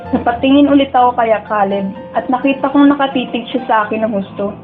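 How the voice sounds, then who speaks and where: A woman's voice: fairly high pitch, fast, lightly acted. A woman with a Filipino accent playing a wistful, lovestruck young student who narrates her dreams in a radio drama. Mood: reflective. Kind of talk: first-person radio drama narration and dialogue. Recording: dull lo-fi audio, music bed. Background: background music.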